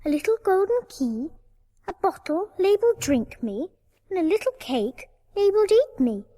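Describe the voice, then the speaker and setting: Prim curious girl, very high voice, long reverb as a woman acts her. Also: sing-song, moderate pace, mellow. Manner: prim, wondering, well-spoken. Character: polite curious young girl. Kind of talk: storybook record acting.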